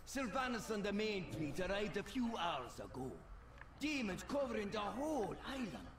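A man's voice: high voice, sing-song, moderate pace. A man voicing a wry, gloomy sidekick crewman in a fantasy video game, sardonic and foreboding. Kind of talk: video game character acting. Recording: echoey, distant, noisy game ambience.

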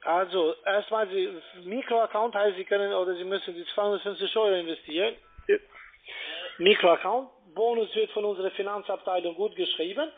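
Man, foreign accent, high voice, telephone salesperson, narrow muffled phone sound. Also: a little fast. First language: not German. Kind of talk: sales pitch on a phone call.

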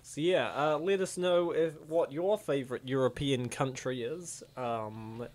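A man hosting a comic dub, giving casual, excitable, exclamatory reaction commentary. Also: medium pitch, a little slow. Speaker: comic dub host and narrator.

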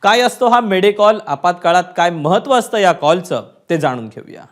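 A man's voice: high voice, lively intonation, normal speed, slight background noise. A man anchors the news. He delivers the script emphatically, with a dramatic edge.

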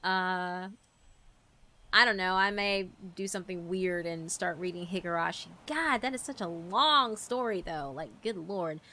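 A woman's voice: lowish voice, measured pace, pushed and bright. A woman hosting a channel, talking casually and informally to her viewers, sometimes trailing off. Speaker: visual novel narrator and channel host.

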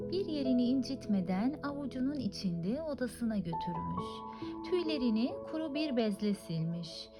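A woman reads a children's fairy tale in a high-pitched voice. Her intonation is very animated, rising and falling widely as a storyteller. Music plays clearly behind her narration. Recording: music under the voice. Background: clearly audible music.

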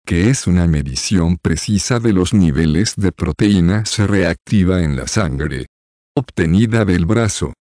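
A low-voiced man narrates a medical explainer from a script. His tone is mellow and soft-edged, read evenly and without hurry. The close recording sounds dry, with no room around it. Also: dry recording.

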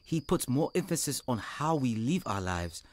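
An actor playing an earnest young southern African convert turned preacher in a radio drama. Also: male voice, mid-range voice, very animated, normal speed, a little hiss or hum, natural voice. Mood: earnest, reflective.

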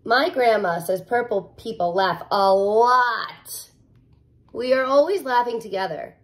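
A woman in her thirties reading a picture book aloud like a teacher, expressive and playful, with casual asides and emphasis on key words. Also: on the high side, measured pace. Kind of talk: animated children's read-aloud with casual asides.

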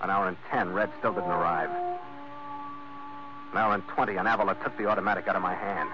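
Actor playing a low-voiced tough radio sea captain. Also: male voice, steady tone, fast talker, lightly acted. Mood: grim, wry. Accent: American. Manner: clipped, hard-boiled delivery. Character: tough, wry male American sea captain. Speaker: narrating lead actor. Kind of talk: 1940s radio acting, noir first-person narration. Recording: dull 1940s radio recording with music.

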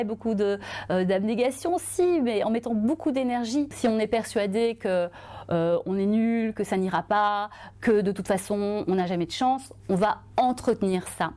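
Woman, forties, from Belgium, testimonial interview, expressive, mellow tone, lapel mic in a bright studio. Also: fairly high pitch. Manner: earnest, expressive, lively hand gestures. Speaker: journalist and author.

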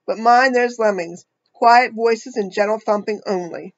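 A woman reading the lines of a gentle, patient male muskox who calmly sets firm rules. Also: fairly high pitch, a little slow, lo-fi sound, close to an everyday voice. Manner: calm, firm, lays down rules.

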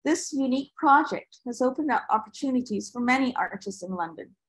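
Husky-voiced older woman, higher-pitched, presenting. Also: lively intonation, a little fast. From Barbados. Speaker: artist and panel presenter. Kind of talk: prepared presentation read aloud. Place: indoor room with bookshelves, on a video call. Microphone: video call microphone.

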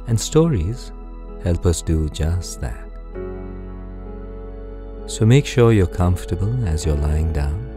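A man softly narrating a guided sleep story in a soothing, unhurried, gentle manner, with a mellow voice. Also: deep voice.

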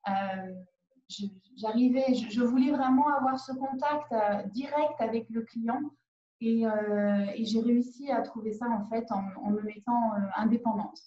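A woman in her forties, an independent perfumer, talking on a video call from home, halting with many fillers and restarts. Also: on the high side, mellow. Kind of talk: conference video call interview. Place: living room at home. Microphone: laptop webcam on a video call. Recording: roomy, dull video-call audio.

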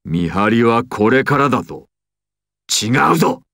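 An actor playing an imperious, secretive mafia boss villain in an anime, speaking with commanding theatrical deliberation. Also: male voice, mid-range voice, lively intonation, unhurried, fairly bright tone, no room sound, studio quality. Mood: tense, secretive. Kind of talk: anime and video game voice acting.

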